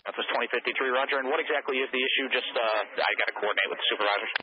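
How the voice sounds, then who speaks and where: A man speaking as an air traffic controller over the radio, routine and rapid with fillers. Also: medium pitch. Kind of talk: radio exchanges with pilots. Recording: noisy lo-fi radio transmission.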